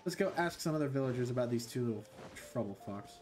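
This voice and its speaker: A man's voice: mid-range voice, expressive, fast talker, a little mellow, slight background noise. A young man streaming a game from his bedroom on a headset, chatting loosely with viewers, joking and swearing. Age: young adult. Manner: loose, joking, swears a lot, talks to chat. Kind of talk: casual gaming stream chat. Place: small bedroom.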